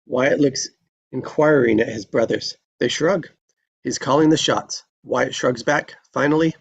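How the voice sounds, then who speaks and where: A man's voice: medium pitch, a little slow, creaky, breathy edge, highs cut off. A man reading stage directions as narrator in a live screenplay table read.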